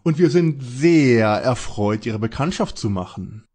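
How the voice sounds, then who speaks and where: Guy doing a cocky teen sleuth in a parody audio drama, raspy and bouncy. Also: mid-range voice, animated, unhurried, darkish tone. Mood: eager. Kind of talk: German detective radio play parody.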